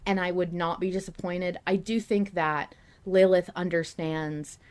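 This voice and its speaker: A woman with a fairly low voice talks casually as a podcast host in a fan discussion. She is earnest and emphatic, letting her thoughts run on in long, looping sentences. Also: steady tone, measured pace, clean tone.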